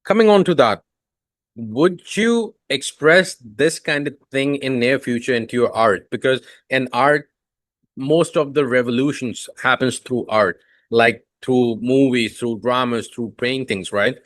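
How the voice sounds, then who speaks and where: A man's voice: medium pitch, a little slow, dry recording. A man whose first language is Urdu, hosting a podcast and asking long, rambling questions full of fillers. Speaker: podcast host. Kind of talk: podcast interview.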